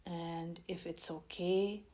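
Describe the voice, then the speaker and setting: A woman with a slightly low voice leads a guided meditation. She speaks very slowly and gently, leaving long pauses between measured phrases. She sounds like a mindfulness teacher giving careful instructions. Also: little pitch movement.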